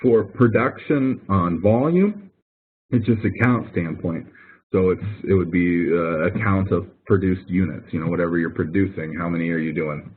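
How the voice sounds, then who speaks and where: A man with a fairly low, husky voice talks like a professor in an informal help session. He explains step by step and repeats key points for emphasis. The sound is narrow and phone-like, as on an online call. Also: normal speed. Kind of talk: informal explanatory tutoring with questions and answers. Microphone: online call microphone. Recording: thin phone-like online conference audio.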